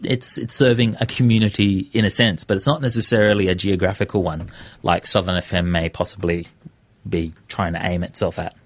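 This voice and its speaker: Deep-voiced Australian man, dry studio sound. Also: steady tone, fairly quick, no echo. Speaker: radio presenter and podcaster on as a guest. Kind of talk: conversational interview. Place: radio studio.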